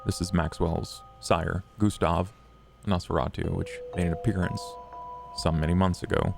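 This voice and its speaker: A man's voice: deep voice, steady tone, normal speed, vocal fry, over music. A man playing a solemn, courteous vampire leader in a tabletop game, with grave, formal wording.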